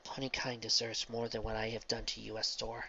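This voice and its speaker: Reader playing a remorseful immortal princess, grave, bright tone. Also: mid-range voice, completely flat delivery, a little fast, dull audio, slight background noise. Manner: grave, pleading, sometimes hushed.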